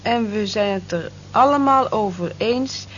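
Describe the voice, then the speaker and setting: A woman's voice: mid-range voice, unhurried, lightly acted. An actress playing a kind, long-suffering cleaning woman in a Dutch radio drama. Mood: slow, careful. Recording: muffled, lightly noisy old radio recording.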